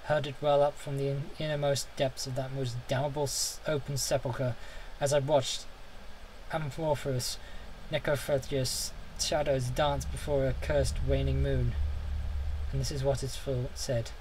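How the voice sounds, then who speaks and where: A man's voice: mid-range voice, little pitch movement, measured pace, darkish tone, slight background noise, poor audio. A man narrating a horror story in the first person, intense and theatrical, now and then stumbling over a word. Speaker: audiobook reader.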